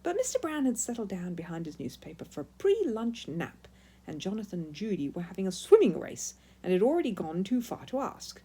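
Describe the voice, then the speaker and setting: A woman narrating a children's story in an expressive, emphatic read-aloud style. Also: medium pitch, fairly quick, breathy edge.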